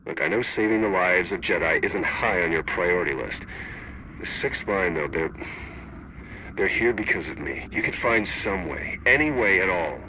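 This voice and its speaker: An actor playing an earnest, awkward Republic agent in a video game, pleading haltingly. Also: male voice, deep voice, expressive, noisy background.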